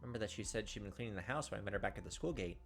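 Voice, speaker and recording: A man's voice: lowish voice, racing, creaky, faint music behind. A man hosting a gaming video, reading visual novel narration and commenting casually.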